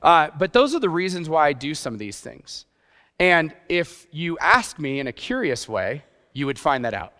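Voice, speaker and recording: A youth pastor preaching a sermon in a conversational way, with rhetorical questions and playful asides. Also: male voice, fairly high pitch, sing-song, moderate pace, sharp tone. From grew up partly in Japan. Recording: long hall reverb.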